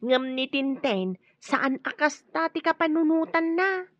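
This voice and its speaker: A woman's voice: high voice, expressive, a little slow, a bit bright, no echo, muffled recording, soft background music. An actress playing a gossipy, slightly scatterbrained, confiding woman in an Ilocano radio drama. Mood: concerned, curious.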